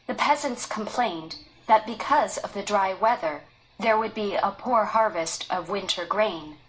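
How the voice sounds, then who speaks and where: A woman reading a children's story aloud in an even, careful storytelling manner. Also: mid-range voice, measured pace, a little hiss or hum, rough recording. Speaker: story reader. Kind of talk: read-aloud storybook narration.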